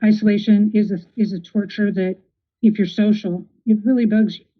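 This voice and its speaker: A woman's voice: mid-range voice, steady tone, moderate pace. A woman hosting a podcast, interviewing casually with supportive affirmations. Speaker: podcast host. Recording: tinny phone-like audio.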